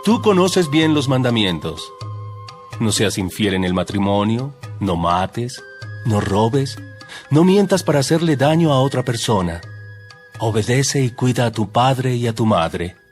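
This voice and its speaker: A calm, solemn religious teacher addresses his followers in a dramatized audio Bible. The delivery is measured and emphatic, with wide swings in intonation. Music plays clearly behind him. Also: medium pitch. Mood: earnest teaching. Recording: background music under the voice.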